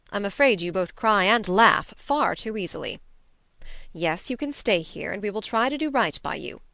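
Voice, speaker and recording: Storybook stern older spinster guardian, played by a woman: plain-spoken, disapproving, very lively intonation, dry recording. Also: mid-range voice, dry recording. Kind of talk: audiobook character reading.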